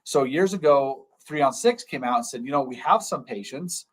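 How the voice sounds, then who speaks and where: A man's voice: mid-range voice, animated, moderate pace, poor audio. Dentist man explaining things plainly. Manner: plain-spoken, repeats key terms to keep it simple. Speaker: dentist hosting a live stream. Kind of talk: casual explanatory chat.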